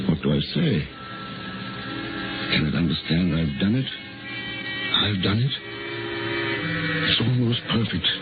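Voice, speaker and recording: A very low-voiced American scientist, secretive and obsessed, speaks in intense, halting bursts. It is a 1950s radio thriller, thin and tinny, with loud music behind him. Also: male voice. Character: secretive, obsessed male scientist, awed by his creation.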